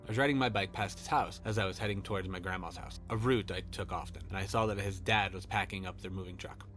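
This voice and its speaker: A man's voice: sits low, fairly even intonation, quick pace, fairly bright tone, faint music behind. A man reading his own fiction as a scripted first-person horror story narration.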